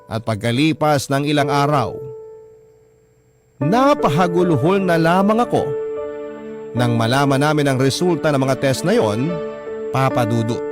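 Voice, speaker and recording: A distraught parent narrating a radio drama in a fairly high pitch. The delivery is earnest and sorrowful first-person storytelling, with music clearly playing underneath. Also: male voice, expressive, measured pace, pauses often. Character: distraught parent recounting a child's illness to radio host.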